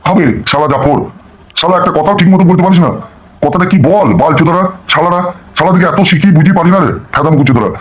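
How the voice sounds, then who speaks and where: A man's voice: fairly high pitch, fast talker, a little hiss or hum, lightly acted. A man voicing a boastful, crooked politician in a comic cartoon, scolding and swearing on dull audio. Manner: scolding, swaggering, curse-laden. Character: bullying, boastful crooked politician and gang boss. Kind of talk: amateur comic cartoon dialogue.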